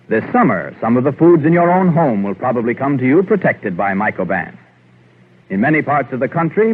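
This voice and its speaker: Lively husky American announcer man, 1940s radio. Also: mid-range voice. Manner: formal, measured announcer delivery. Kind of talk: scripted 1940s radio sponsor narration. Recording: narrow, muffled 1940s radio recording.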